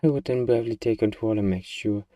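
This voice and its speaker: A man performs as a pint-sized demon in a humorous ASMR fantasy, with a very mellow, dark tone. He blusters self-importantly, then stumbles and hesitates as his insecurity shows. The sound is dry, with the voice a little away from the mic. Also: lowish voice, fast talker, no room sound, distant mic, close to an everyday voice. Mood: coaxing, boastful. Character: small, eager, boastful but insecure male demon. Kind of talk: ASMR fantasy comedy roleplay addressed to listener.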